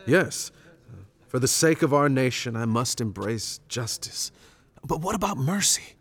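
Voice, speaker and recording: A man's voice: mid-range voice, lively intonation, unhurried, a bit bright, husky, exaggerated voice. An actor playing a troubled, earnest betrothed man torn by conscience, hesitant and anguished, in a biblical audio drama. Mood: searching.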